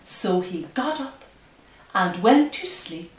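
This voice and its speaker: A woman librarian speaking at story time for young children, with careful, deliberate phrasing and pauses. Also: mid-range voice, big pitch swings. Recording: roomy, distant, faint hiss.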